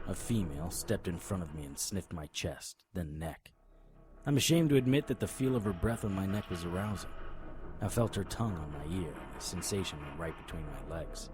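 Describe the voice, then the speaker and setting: An actor playing a brooding, wry investigator who narrates a noir-style audio drama in a dry, hard-boiled manner. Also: male voice, deep voice, expressive, brisk, over loud music. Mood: grim, wry. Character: brooding, wry, stubborn male supernatural investigator. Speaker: narrator and lead character.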